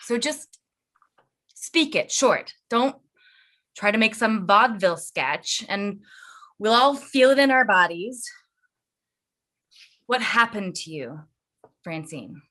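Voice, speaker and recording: A woman's voice: medium pitch, animated, takes it slowly, pauses often, pushed and bright. A woman playing a hesitant but practical, supportive woman in a staged reading of a play. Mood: practical, impatient.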